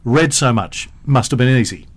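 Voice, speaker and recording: A man's voice: mid-range voice, lively intonation, normal speed. A man hosting a podcast interview, asking questions with playful teasing. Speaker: podcast host.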